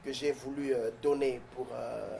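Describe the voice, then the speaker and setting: A man from southern Congo-Brazzaville, with Mbembe as his first language, speaks in a declamatory, preacherly way, pausing on hesitant fillers. His voice is mellow and sits at an average pitch. A faint noise hangs behind it. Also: steady tone, vocal fry. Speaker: pastor and guest. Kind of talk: interview answers turning to a prayer.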